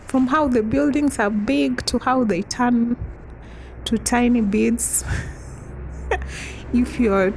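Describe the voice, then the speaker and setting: A young woman from East Africa telling a chatty storytime vlog, often breaking into soft laughs. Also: fairly high pitch, noisy background. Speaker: vlogger.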